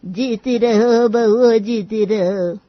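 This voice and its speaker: A woman playing a stern, sharp-tongued old village grandmother-in-law who scolds and commands in a cartoon story. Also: a little high, fairly even intonation, extremely slow, no room sound, muffled recording. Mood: pleased. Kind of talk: acted dialogue in a cartoon moral story.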